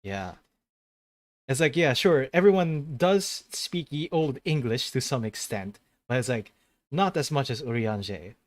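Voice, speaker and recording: A man hosting a casual gaming stream, speaking at an ordinary middle pitch. He rambles through his thoughts and corrects himself mid-sentence. He laughs easily along the way. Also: lively intonation, measured pace, no echo. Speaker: host of a gaming channel.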